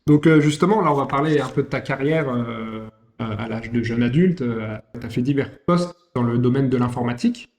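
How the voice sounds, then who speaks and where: A man's voice: medium pitch, normal speed, a little mellow. Chatty male podcast host in an echoey room. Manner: friendly, questioning, with frequent fillers. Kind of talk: interview hosting. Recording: roomy echo.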